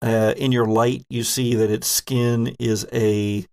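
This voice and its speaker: Slow, gravelly man narrating a game. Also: mid-range voice, little pitch movement, a little mellow. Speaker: game master. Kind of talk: narrating a tabletop role-playing game.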